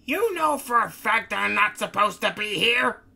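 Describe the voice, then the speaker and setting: A man's voice: high-pitched, expressive, moderate pace, vocal fry, bright, forceful tone, pitched above the performer's own voice, heavily acted. A man voicing Takashi, a grumpy sleepy man who protests being dragged along. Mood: protesting. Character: grumpy sleepy male townsman.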